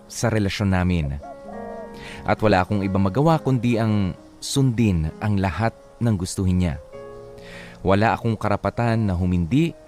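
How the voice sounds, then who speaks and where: Radio drama confessor: man plays submissive, hurting spouse, lowish voice, measured and heavy-hearted, faint music underneath. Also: lightly acted. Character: sorrowful, submissive partner confessing an abusive marriage. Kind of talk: radio drama letter narration. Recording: soft music bed behind the voice.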